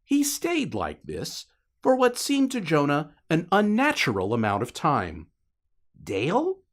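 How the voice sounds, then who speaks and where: A man's voice: fairly high pitch, wildly swooping pitch, a little slow. A man narrating fiction as a scripted, dramatic audiobook reading.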